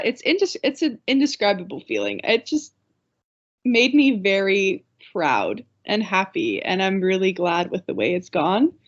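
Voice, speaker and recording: A woman with a medium-pitched voice gives casual, earnest answers as a guest in an interview. She often restarts and repeats phrases. The audio is a bit dull. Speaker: panel guest, pantomime board member.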